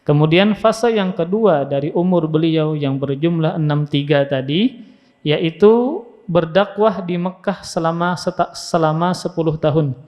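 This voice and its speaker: A man's voice: a little high, darkish tone. A man giving a didactic religious lecture as an Islamic teacher, repeating key phrases for emphasis and quoting Arabic. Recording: muffled, long hall reverb.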